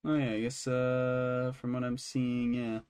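Casual sweary man, clearly noisy recording. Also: mid-range voice, little pitch movement, a little slow. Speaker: podcast co-host. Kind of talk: casual chat about entertainment news.

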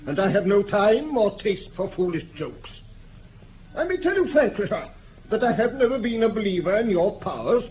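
On a thin, noisy 1950s radio recording, a crusty old British aristocrat. His voice is high and swings widely as he speaks in formal, pompous, easily affronted bluster. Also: phone-quality sound. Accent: upper-class British. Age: older. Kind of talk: 1950s radio drama acting.

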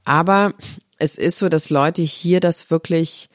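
A woman's voice: on the low side, slow, dry recording, studio quality. A middle-aged woman from Germany, a guest on a podcast talking about her health platform, explaining things earnestly and at length. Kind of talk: podcast conversation.

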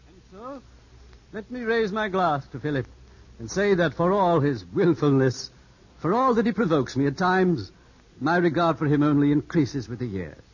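An actor playing a cautious older family friend in a 1950s radio drama, husky, formal and avuncular. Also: male voice, fairly high pitch, big pitch swings, normal speed. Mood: fond, formal. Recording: dull 1950s radio broadcast.

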